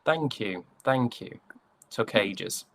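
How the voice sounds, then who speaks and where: A young man with a Northern English accent chatting casually as an interview guest, often pausing to find his words. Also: lowish voice, animated, gravelly, no room sound. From West Yorkshire, England.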